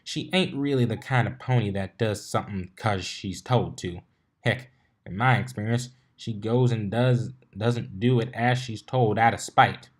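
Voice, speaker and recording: A man's voice: on the low side, a little slow. A man voicing an easygoing, reassuring female farmer pony with folksy, plain-spoken country speech in a story reading.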